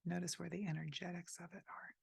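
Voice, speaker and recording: A woman leading a guided meditation, speaking softly and gently inviting the listener, with pauses between phrases. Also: lowish voice, level tone, creaky, slightly rough, no echo. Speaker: meditation teacher.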